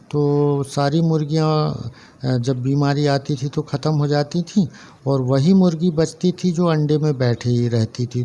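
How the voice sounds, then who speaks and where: A man with a mellow, somewhat high voice talks straight to his viewers about raising chickens. He explains step by step in an earnest, plain way and often runs through lists one item at a time. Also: a little hiss or hum. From central India. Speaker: poultry farmer and channel host. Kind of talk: casual instructional talk to camera.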